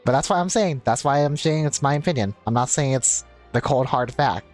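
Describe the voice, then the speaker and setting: Casual male game streamer, mid-pitched. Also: brisk. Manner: repetitive, explanatory. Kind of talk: casual livestream chat over gameplay. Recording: game music behind voice.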